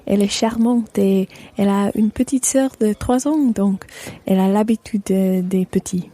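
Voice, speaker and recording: A woman's voice: mid-range voice, moderate pace, dark tone, lightly acted. A woman playing a chatty, inquisitive French mother with new friends in a scripted language-course radio play. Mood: friendly, curious. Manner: conversational, questioning.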